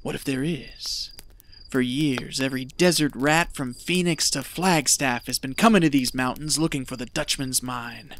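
An actor playing a greedy, callous treasure hunter in an audio drama, talking in a scheming, folksy, persuasive way. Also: male voice, mid-range voice, moderate pace, fairly bright tone, slight background noise, natural voice.

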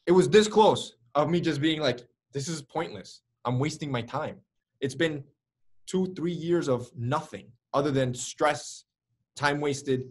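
A man's voice: a little high, husky. A man in his early twenties, speaking as an interview guest over a video call, telling his own story energetically with many "like"s and "right?"s. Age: early 20s. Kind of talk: candid storytelling.

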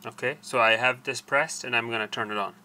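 An older man explaining a technical procedure step by step in a halting, deliberate way. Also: mid-range voice, steady tone, pushed and bright. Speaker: tutorial presenter. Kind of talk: hands-on technical tutorial, explanatory. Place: small cluttered indoor workshop.

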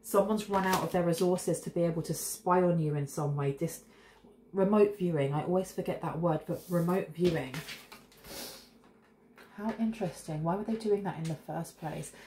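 A woman's voice: fairly low pitch, normal speed, mellow. A woman in her thirties giving a casual tarot reading to camera from her kitchen, chatty and rambling, sniffling with a cold. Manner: chatty, intuitive, rambling asides. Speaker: tarot reader. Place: home kitchen, indoors. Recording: echoey room with faint hiss.

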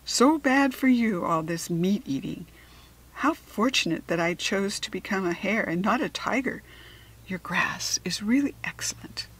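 A woman's voice: mid-range voice, expressive, a little slow, a bit bright, slightly rough. A woman voicing a polite, chatty, slightly superior talking hare from another world in a children's storybook, articulate and formal. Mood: curious, faintly superior. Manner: articulate, formal, self-assured. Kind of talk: children's storybook character reading.

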